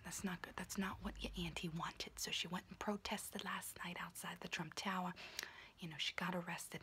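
A woman's voice: on the low side, bright, forceful tone, a little hiss or hum. A woman voicing an unhinged, conspiracy-minded auntie doing someone's nails, whispering throughout in an ASMR roleplay and rambling with pet names.

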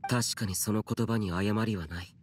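A man's voice: on the low side, steady tone, moderate pace, lightly acted. A man voicing a stern, aloof young immortal guardian in an anime game, speaking tersely and solemnly in formal, archaic wording. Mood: solemn, reserved.

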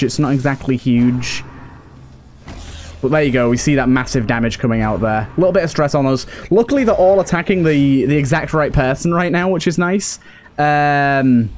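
Male gaming host, fairly high register, relaxed-format playthrough commentary, conversational delivery. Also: slight background noise. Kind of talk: casual let's play commentary.

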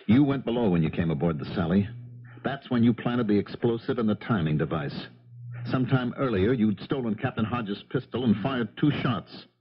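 Guy acting as a low husky american detective, unhurried and accusing, old muffled radio show. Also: expressive, slight background noise, close to an everyday voice. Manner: matter-of-fact, accusing. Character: dogged, unhurried male police detective laying out a murder case. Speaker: radio actor. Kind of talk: 1970s radio drama acting. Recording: muffled 1970s radio recording.

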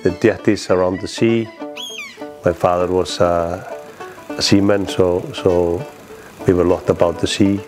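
A middle-aged man from Iceland's East Fjords with an Icelandic accent, reminiscing in halting, plain, non-native English in a documentary interview. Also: deep voice, flat delivery. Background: guitar music.

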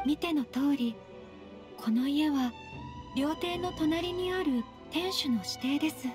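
A woman playing a gentle young noblewoman in an anime fantasy game, speaking formally and humbly. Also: high-pitched, takes it slowly, over music. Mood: apologetic, explaining. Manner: formal, humble, soft-spoken politeness. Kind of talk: Japanese anime game voice acting.

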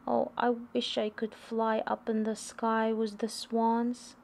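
Lady doing a little lonely boy duckling from a kids book, slow n wondering, bit of noise. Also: a little high, completely flat delivery, gravelly, darkish tone, voice pushed up. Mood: wistful. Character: lonely young male duckling growing into a swan.

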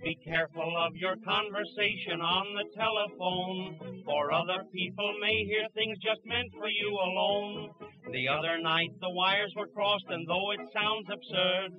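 High-voiced man singing on a vintage record. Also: a little slow. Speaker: singer. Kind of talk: 1920s comic novelty song. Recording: dull old 1920s music recording.

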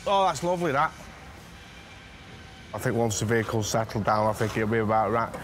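Low male voice, carriage examiner in a noisy workshop. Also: lively intonation, brisk. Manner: practical, plain-spoken, uses fillers. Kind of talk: casual interview.

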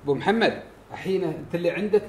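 Young host, very fast, eager interjections, slightly high voice. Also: male voice, darkish tone. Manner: eager, rapid interjections of agreement. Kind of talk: casual visit chat. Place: living room sofa, indoors. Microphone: phone held at arm's length. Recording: roomy echo.

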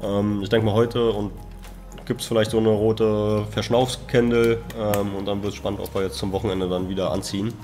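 A young man co-hosting a casual market chat, relaxed and hesitant with many fillers. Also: lowish voice, normal speed, faint music behind. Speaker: co-host of a crypto trading show. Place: indoor room, seated at a table.

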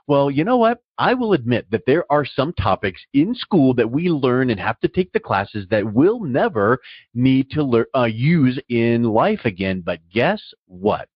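Middle-aged man, podcast interviewer, emphatic and confident, medium pitch, dry close sound. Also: big pitch swings, normal speed, breathy edge, dry recording, muffled recording. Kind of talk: casual interview chat.

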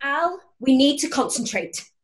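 A woman's voice: sits high, expressive, measured pace. A young actress playing a confident, bossy eleven-year-old girl, assertive and precise, in a stage play table read on a video call. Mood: assured. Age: young adult. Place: plain room at home, video call.

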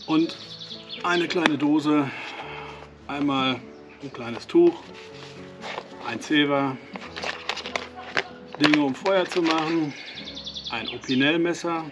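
A man's voice: fairly high pitch, expressive, extremely slow, long pauses, lo-fi sound. A man from Westphalia with a Westphalian German accent, casually explaining an outdoor cooking demonstration with fillers and small self-corrections. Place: outdoors at a camp stove. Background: music and cooking sizzle.

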